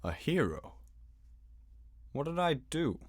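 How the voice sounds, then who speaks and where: A man's voice: fairly high pitch, sing-song, slow, dry recording, studio quality, heavily acted. A man playing an earnest, dutiful young knight in a game fan dub, speaking in a serious, slightly formal manner. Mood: puzzled, stiff. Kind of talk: acted game dialogue.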